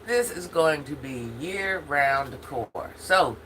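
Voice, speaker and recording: Older woman, deep and forceful voice, stuffy from allergies, chatty livestream host. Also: lively intonation, slow, slight background noise, rough recording. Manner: chatty, talks straight to viewers. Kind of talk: casual livestream chat.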